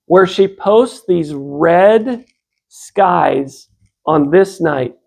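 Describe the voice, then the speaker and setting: A man's voice: high voice, animated, very slow, gravelly, a little mellow. An American man in his fifties giving an informal religious talk, putting questions to listeners and acting out bits of dialogue. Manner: conversational, rhetorical questions to listeners. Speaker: religious speaker.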